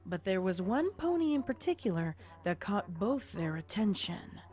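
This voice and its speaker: A woman's voice: mid-range voice, very animated, normal speed, dark tone, soft background music. A woman narrating a scripted story as an audio drama narrator.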